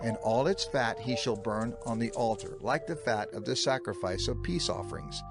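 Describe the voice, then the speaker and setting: A man narrating scripture for a dramatized audio production in a measured, solemn, declamatory manner. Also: medium pitch, gravelly. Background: music.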